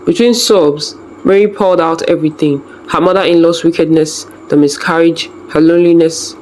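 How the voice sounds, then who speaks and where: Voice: mid-range voice, fairly even intonation, moderate pace, a little mellow, a little hiss or hum, poor audio. A storyteller reading a scripted folktale narration.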